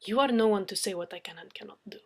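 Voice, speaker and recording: A woman's voice: mid-range voice, quick pace, natural voice. A woman voicing a bold, scolding foreign woman in an audiobook, speaking blunt broken English with dropped articles. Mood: defiant, mocking. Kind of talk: dramatic audiobook character reading.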